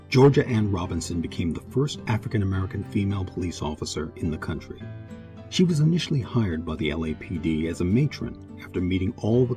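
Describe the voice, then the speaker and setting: A man narrating a scripted documentary in a measured way, with dramatic pauses and emphasis. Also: deep voice. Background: music.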